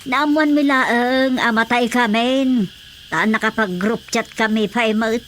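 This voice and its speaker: High, sharp, whining comic complainer. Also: female voice, a little slow, slight background noise. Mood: complaining. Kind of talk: Filipino comedy radio drama acting.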